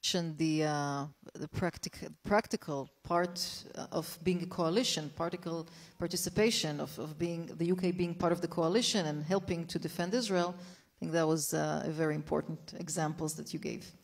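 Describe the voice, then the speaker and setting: A woman moderating a panel, formal and somewhat halting. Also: low voice, steady tone. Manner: formal, with some halting restarts.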